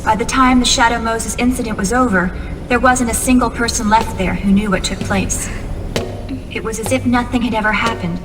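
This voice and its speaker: An actress playing an earnest, composed young female military officer in a video game, giving a measured briefing. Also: fairly high pitch, steady tone, over music, close to an everyday voice. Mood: serious, informative. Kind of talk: cinematic video game dialogue. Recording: long reverb, clear background noise.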